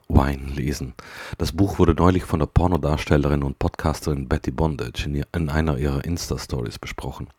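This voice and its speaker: A man speaking a reflective diary-style monologue in measured sentences that often trail off quietly at the ends. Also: a bass voice, no echo, studio quality. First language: German.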